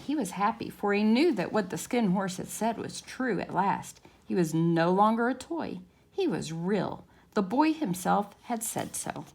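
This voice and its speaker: A woman narrating a children's storybook as a story time reader, in a measured, expressive way. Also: mid-range voice, vocal fry.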